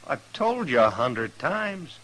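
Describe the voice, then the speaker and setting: Animated, impulsive western outlaw. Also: male voice, on the high side, heavily acted. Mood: hesitant, earnest. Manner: halting, earnest, folksy. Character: reformed young outlaw, impulsive and lovestruck. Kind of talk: old-time radio western drama acting. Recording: noisy vintage radio recording.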